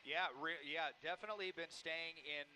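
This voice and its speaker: A man working as a helicopter news reporter, giving halting, improvised live commentary on a police pursuit. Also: fairly high pitch, fairly even intonation, pushed and bright.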